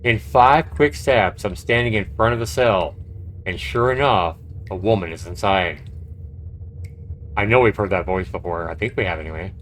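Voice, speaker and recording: A man's voice: low voice, normal speed, fairly bright tone, a little hiss or hum. A man live-streaming a game, reading its text aloud and adding casual, reactive commentary. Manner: reactive, conversational.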